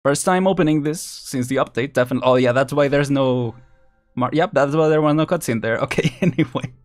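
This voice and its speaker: Male game streamer, mid-pitched, casual and rambling, thinking aloud with music behind him. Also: brisk. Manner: rambling, chuckling, thinking out loud. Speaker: live streamer.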